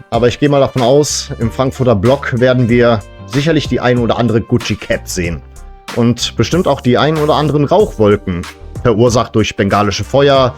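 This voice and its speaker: Joking man over clearly audible music. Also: medium pitch, a little mellow. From Serbian family background. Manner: tongue-in-cheek, joking asides. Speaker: host of a football fan entertainment show. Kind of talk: casual satirical monologue to camera.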